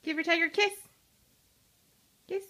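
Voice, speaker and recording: A woman's voice: very high voice, steady tone, normal speed, bright, forceful tone. Sing-song mom coaxing her toddler.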